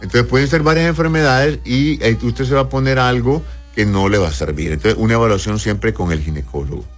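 A man's voice: medium pitch, brisk, faint music behind. A man working as a doctor, reading listeners' health questions aloud and answering them in a live advice show, with speech and affectionate words for his callers. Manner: reads viewers' messages aloud, answers tenderly, calls them "corazón".

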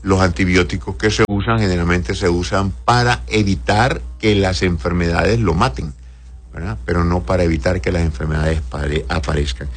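A man's voice: low voice, fairly even intonation, normal speed. A man speaking as a doctor hosting an advice show, conversational and avuncular, answering listeners with endearments and frequent checking tags. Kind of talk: conversational medical advice, reading messages aloud.

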